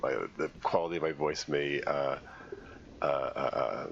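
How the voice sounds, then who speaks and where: A deep-voiced man with a gravelly edge talks through a game live, his voice stuffy and congested from a cold. He thinks aloud in a halting way while puzzling things out. The stream audio sounds a little dull, with a faint hiss underneath. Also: steady tone. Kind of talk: live game playthrough commentary.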